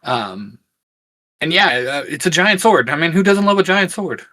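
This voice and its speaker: Bright, higher-voiced man in casual chat. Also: sing-song, normal speed. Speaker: co-host of a fan discussion show.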